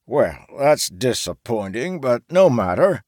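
A pompous merchant in a fantasy audiobook, his voice pitched high and swooping. He speaks slowly and grandly, quick to indignation, savouring his own importance. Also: very animated, darkish tone, dry recording, clean studio sound. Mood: oily salesman. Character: pompous, fat, easily outraged male slave merchant. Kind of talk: audiobook character acting.

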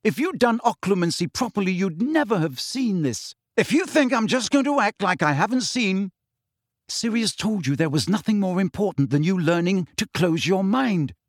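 A man's voice: sits high, expressive, normal speed, no room sound, studio quality, voice pushed up. A man voicing a clever, anxious teenage girl witch who argues fiercely and pleads.

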